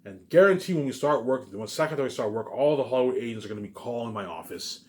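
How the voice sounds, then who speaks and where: A man's voice: mid-range voice, expressive, fast, darkish tone. A man giving an informal, rambling monologue, repeating himself and restarting phrases, with emphatic tag words. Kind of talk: rambling informal monologue about personal plans.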